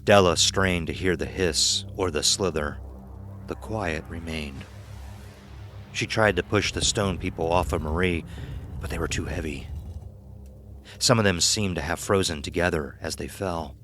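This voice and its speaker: A man's voice: low-pitched, unhurried, pauses often, a bit bright, faint music behind. A man narrating a scripted horror story for an audio drama.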